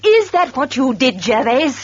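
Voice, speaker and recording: Suspicious woman, husky high voice, wounded, accusing, animated, muffled radio play. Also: moderate pace. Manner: sharp, accusing, emotional. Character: suspicious, wounded woman confronting former sweetheart. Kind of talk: radio drama acting. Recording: dull lo-fi radio recording.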